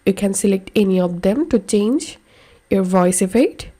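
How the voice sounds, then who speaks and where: A woman's voice: medium pitch, animated, normal speed, dark tone. A woman presenting a scripted how-to tutorial in simple, step-by-step instructions.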